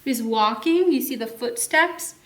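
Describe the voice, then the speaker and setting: A woman hosting story time as a children's librarian, teacherly and animated, explaining a picture book to young children with questions. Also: a little high, unhurried. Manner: animated, teacherly, encouraging participation.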